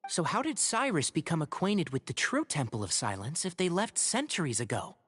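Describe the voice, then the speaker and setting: Earnest, expressive young ranger. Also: male voice, a little high, a little fast, big-room sound, slight background noise. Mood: analytical. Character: earnest young male forest ranger, level-headed, resolute. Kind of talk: video game voice acting.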